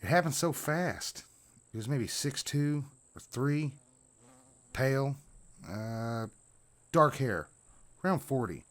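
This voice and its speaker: Man voicing a breathless, frightened middle-aged jogger, pitched up. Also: animated, fairly bright tone. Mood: uneasy, grateful. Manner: breathless, halting, pleading. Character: frightened, winded male jogger around forty.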